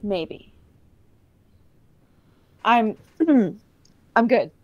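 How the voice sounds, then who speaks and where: A woman's voice: on the high side, expressive, very slow, darkish tone, no echo, highs cut off, voice pushed up, heavily acted. A woman playing a bookish, sleepless character in a tabletop game, answering in clipped, evasive bits. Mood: brisk, evasive.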